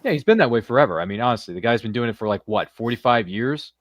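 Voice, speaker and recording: A man's voice: medium pitch, expressive, fast talker. An American man with a jokey, slangy way of talking, chatting casually as a podcast host. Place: studio.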